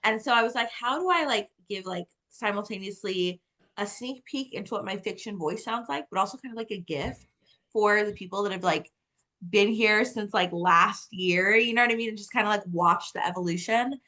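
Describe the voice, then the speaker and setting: A woman in her thirties talks as a guest author in a relaxed interview. Her speech is conversational and animated, peppered with "like" as she explains things, at a middle pitch. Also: moderate pace. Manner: animated storytelling, heavy use of "like". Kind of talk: casual interview chat.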